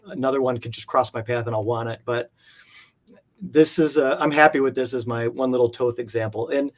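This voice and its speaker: A man with a mid-range voice talks as a guest in a relaxed interview. His explanations are hesitant and circle back on themselves as he works out what he means. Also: mid-range voice, fairly quick. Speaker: guest, comic art collector. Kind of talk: casual interview chat.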